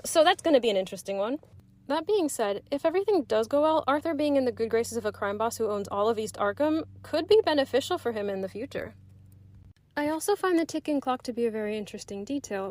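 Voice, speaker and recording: A woman's voice: on the high side, darkish tone, no echo. A woman giving prepared, articulate commentary as a listener's recorded submission to a podcast.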